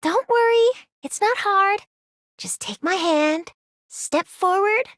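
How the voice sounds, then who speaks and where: A woman's voice: very high-pitched, slow, fairly bright tone, no echo, clean studio sound, heavily acted. An actress voicing a peppy, encouraging young woman in a game, with a bubbly, coaxing manner. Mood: cheerful, coaxing. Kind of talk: scripted game character voice line.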